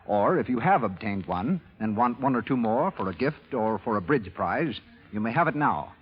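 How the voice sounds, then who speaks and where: Husky 1930s American radio announcer man. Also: mid-range voice, expressive, normal speed. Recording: dull vintage 1930s radio broadcast recording, reverberant.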